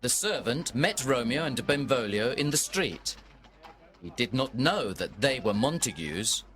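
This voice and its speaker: A man narrating a storybook for learners from a script, in a measured, deliberate way. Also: medium pitch, very animated, fairly bright tone.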